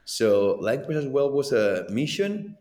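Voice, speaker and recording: A man's voice: medium pitch, unhurried. A man in his thirties from Colombia, speaking English with a Colombian accent as a second language, chatting animatedly as a former pro racer in an interview. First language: Spanish. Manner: animated, laughs often, loose filler-heavy delivery. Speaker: former pro downhill mountain bike racer, guest. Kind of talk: casual conversational interview. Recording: roomy echo.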